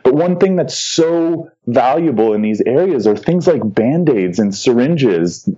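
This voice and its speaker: A man's voice: mid-range voice, lively intonation, measured pace, mellow, slightly rough, muffled recording. A man volunteering for a charity, explaining its work earnestly in an interview, with a few fillers.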